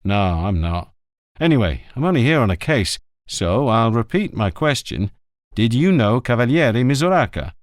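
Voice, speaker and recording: A man's voice: mid-range voice, big pitch swings, moderate pace, no room sound, studio quality, lightly acted. A man reading an audiobook, voicing a terse male police inspector who speaks curtly and bluntly. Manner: curt, blunt. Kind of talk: audiobook character voice.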